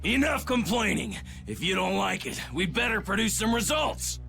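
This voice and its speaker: An actor playing a blustering, officious, comical knight in a video game, with a gravelly voice. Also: male voice, sits high, very animated, moderate pace, sharp tone, faint music behind, exaggerated voice. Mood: scolding. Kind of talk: animated video game acting.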